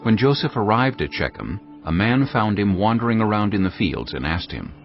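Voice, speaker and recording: A man with a deep voice and wide expressive inflection narrates scripture. His delivery is measured and dramatic, with faint music underneath. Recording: dull audio over background music.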